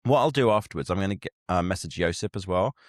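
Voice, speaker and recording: Animated man hosting. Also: low-pitched, fast talker, no room sound, studio quality. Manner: animated, numbers-minded, quotes himself in a lifted voice. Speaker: podcast host. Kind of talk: casual interview conversation.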